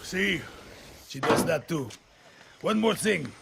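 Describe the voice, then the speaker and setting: A man's voice: fairly high pitch, animated, slow, gravelly, very noisy, exaggerated voice. A man voicing an eccentric, cocky older guerrilla weapons tinkerer in a video game, with a Latin American accent and playful, theatrical swearing. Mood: gleeful showmanship. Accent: Latin American Spanish.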